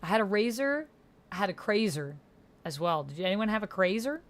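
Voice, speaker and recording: A woman's voice: mid-range voice, very animated, moderate pace. A woman chatting casually on a livestream as she plays a text roleplaying game, thinking aloud and reading messages out as she types. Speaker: streamer and player of a text-based roleplaying game. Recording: noticeable background noise with keyboard typing. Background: computer keyboard typing.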